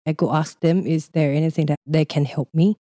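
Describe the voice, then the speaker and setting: Thai lady, young, chatty and laughy, low mellow voice, dry close sound. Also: fairly even intonation, fairly quick, no room sound. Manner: chatty, laughs often, non-native English. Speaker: guest. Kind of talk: casual interview chat.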